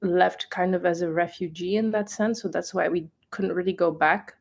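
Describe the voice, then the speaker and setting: A young woman who grew up in Tanzania, a podcast guest telling her story in a relaxed, thoughtful way. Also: fairly low pitch, moderate pace, gravelly.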